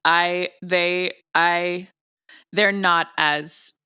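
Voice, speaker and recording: A woman's voice: fairly low pitch, fairly even intonation, drawn-out words, pushed and bright, smooth, no echo. A woman chatting casually as an interview guest, playful and a little self-critical about her work. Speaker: audio drama director and voice actor, interview guest.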